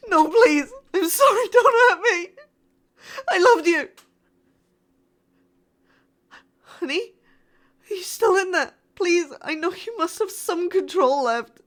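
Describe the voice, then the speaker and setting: A very high-pitched young-sounding female spaceship crew member, acted by a woman, caught in a sci-fi horror audio drama. She speaks slowly and haltingly, with raw dramatic emotion. Her fear comes with pleading and a streak of defiance. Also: animated, fairly bright tone, exaggerated voice. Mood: terrified pleading.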